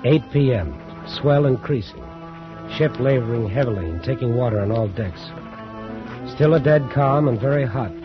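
An actor playing an earnest, dutiful ship's first mate in a 1940s radio drama, forthright but respectful. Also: male voice, mid-range voice, expressive, moderate pace, close to an everyday voice. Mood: grave, reporting. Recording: dull old radio recording with music.

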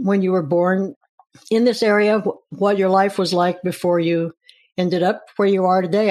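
A woman hosts a relaxed interview. She asks long, meandering questions, pausing and restarting in a friendly way as she goes. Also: on the low side. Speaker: interview host. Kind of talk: casual interview.

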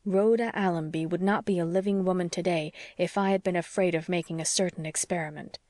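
A woman voicing an earnest, stubborn young male doctor who reasons in a measured, formal way, as in an audiobook. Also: on the low side, steady tone, darkish tone, no echo, studio quality, lightly acted. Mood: hurt, stiff. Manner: measured, formal, slightly stiff. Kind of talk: audiobook character dialogue.

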